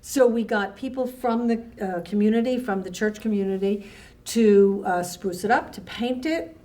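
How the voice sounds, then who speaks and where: A woman recalling her work as a festival co-founder in a conversational documentary interview, haltingly searching for words. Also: mid-range voice, warm tone. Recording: distant mic with background noise.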